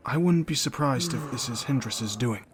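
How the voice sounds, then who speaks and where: An actor playing an earnest young scholarly knight in a fantasy audio drama, reasoning in a measured, placating way. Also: male voice, medium pitch, lively intonation, a little hiss or hum, close to an everyday voice. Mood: calm reasoning.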